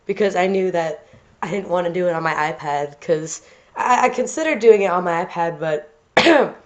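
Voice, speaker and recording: A woman's voice: lowish voice, animated, normal speed. A woman with a stuffy nose talking casually and rambling over a drawing video, with lots of fillers and restarts. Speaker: art channel host. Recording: slightly dull lo-fi home recording.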